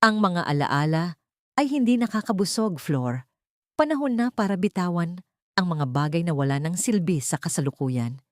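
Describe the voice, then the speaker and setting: Woman narrator doing a stern old rich mother-in-law, cold and judgmental, lowish pitch, audio story. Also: expressive, moderate pace, no room sound, clean studio sound, lightly acted. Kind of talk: audio drama character dialogue.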